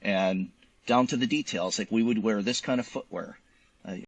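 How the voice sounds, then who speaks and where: A man speaking as an interview guest in casual conversation, explaining details in an animated, colloquial way. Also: on the low side, normal speed, slightly rough, no echo. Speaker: guest, physician and novelist.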